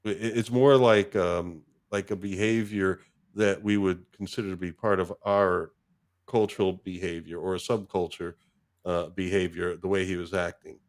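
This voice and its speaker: A man with a low voice talks through films in a casual podcast conversation. He speaks haltingly, working out his thoughts as he goes. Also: steady tone. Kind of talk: casual film discussion.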